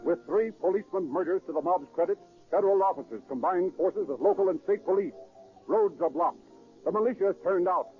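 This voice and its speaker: Grave, urgent male crime-drama narrator, played by an actor, higher-set voice, declamatory, music under a thin vintage radio sound. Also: a little fast, close to an everyday voice. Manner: declamatory, florid, solemn. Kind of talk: 1930s radio acting, dramatic scripted narration. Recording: tinny 1930s radio broadcast, music behind.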